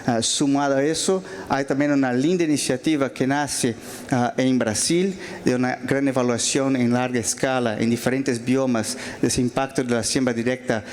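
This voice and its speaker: Brazilian guy giving a conference wrap-up in spanish, portuguese accent, lots of "ah" and restarts, medium pitch. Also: fairly even intonation, moderate pace, darkish tone. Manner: hesitant, frequent fillers and restarts. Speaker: panel closing speaker. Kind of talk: conference closing remarks. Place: large auditorium.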